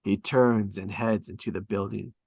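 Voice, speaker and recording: A man's voice: fairly low pitch, steady tone, moderate pace, gravelly, darkish tone, breathy edge, dry recording. A man reading stage directions as dramatic scripted narration at a table read.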